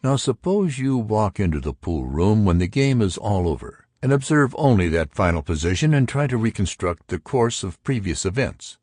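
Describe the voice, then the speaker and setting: A man's voice: fairly low pitch, big pitch swings, normal speed, few pauses, a little mellow, dry recording, studio quality, pitched above the performer's own voice. A man voicing an argumentative intellectual who expounds theories to friends in an audiobook. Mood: emphatic, explaining. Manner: emphatic, pedantic. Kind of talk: audiobook character dialogue.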